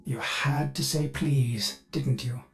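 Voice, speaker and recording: An actor playing a ship's artificial intelligence in a video game, polite, formal, anxious and eager to defer. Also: male voice, medium pitch, fairly even intonation, slow, creaky, heavy background noise. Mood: reluctant. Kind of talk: video game character acting. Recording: music behind the voice.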